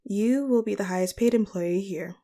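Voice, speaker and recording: A woman playing a polite, composed supervillain boss in a scripted audio roleplay, speaking to the listener in formal, measured phrases. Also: medium pitch, mellow, lightly acted. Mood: reasoned, diplomatic. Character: polite, charismatic female supervillain sorceress boss, caring and composed.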